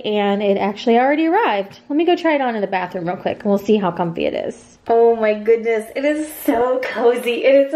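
Chatty woman vlogger, slightly high voice. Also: a little mellow. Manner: chatty, upbeat, filler-heavy. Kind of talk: casual haul vlog. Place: home bathroom and kitchen, indoors. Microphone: camera on tripod, then handheld.

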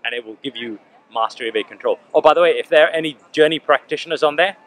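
A man's voice: fairly high pitch, quick pace, a bit bright, rough recording. A man in his forties speaking earnestly to the camera, gesturing as he talks, outdoors in a park. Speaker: vlogger. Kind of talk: casual reflective monologue. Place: park lawn outdoors by a statue. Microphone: camera at close range.

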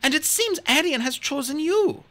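A woman's voice: high voice, animated, normal speed, sharp tone, pitched above the performer's own voice. A woman playing a commanding Amazon queen. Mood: measured. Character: proud, calculating Amazon queen.

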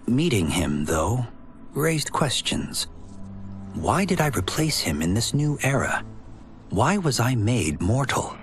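An actor voicing a solemn, earnest young warrior monk in measured, formal game narration. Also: male voice, mid-range voice, big pitch swings. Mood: earnest, brooding. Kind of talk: dramatic scripted ending narration. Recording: studio voice over music.

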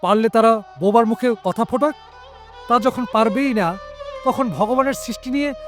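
A man's voice: very high voice, moderate pace. A man playing a tender, protective father with an earnest, emphatic delivery in an audio drama. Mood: protective indignation. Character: tender, protective father defending his mute daughter. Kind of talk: Bengali audio drama acting. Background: background music.

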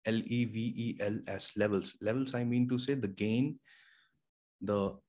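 Man, Indian accent, lowish pitch, casual tutorial, halting explanations, home studio mic. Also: fairly even intonation, a little mellow. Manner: explains step by step with many fillers and restarts. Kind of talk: casual screen-share tutorial. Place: small home room with air conditioner and fridge nearby. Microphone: studio microphone through an audio interface. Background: faint room hum.

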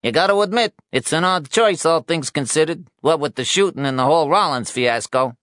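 A scruffy, pushy little newspaperman from an audiobook thriller, played by a male narrator. His voice jumps well above the narrator's low register and swings about. He needles and wheedles, recorded very dry. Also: expressive, brisk, a bit bright, no echo. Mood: needling. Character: pushy, short, scruffy male New York journalist.

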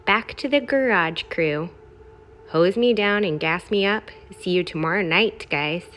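A woman's voice: lowish voice, unhurried, a bit bright. A woman voicing a brash, boastful, gleefully smelly garbage truck in a children's picture book, in punchy, emphatic phrases. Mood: tired. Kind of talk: expressive children's picture-book read-aloud.

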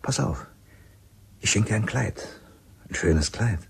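A man playing a pushy forty-year-old village womanizer in a radio play, coaxing and blustering in a rough, husky voice. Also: fairly low pitch, lively intonation, slow, heavily acted. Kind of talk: naturalistic radio drama acting.

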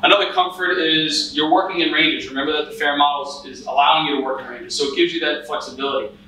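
A man's voice: a little high, fairly even intonation, quick pace, sharp tone. A man in his thirties giving a conversational conference talk as a cyber risk analyst in a hotel meeting room, with frequent restarts and fillers. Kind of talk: conference presentation. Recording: echoey room from a distance.

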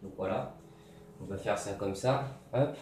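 A man's voice: lowish voice, a little slow, slight background noise. Young man explaining in an echoey room. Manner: relaxed, talks while working, many fillers and "hop, voilà". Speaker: DIY tutorial maker. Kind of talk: casual step-by-step tutorial. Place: bare room with grey wall, indoors. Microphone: camera mic from a distance. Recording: roomy echo, distant mic, faint music.

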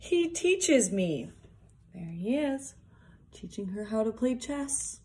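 A young woman reading a picture book aloud for small children, deliberately, with casual asides. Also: fairly high pitch, big pitch swings, darkish tone. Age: young adult. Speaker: early years worker reading a picture book. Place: small plain indoor room, seated at a desk. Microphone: phone or device propped at arm's length.

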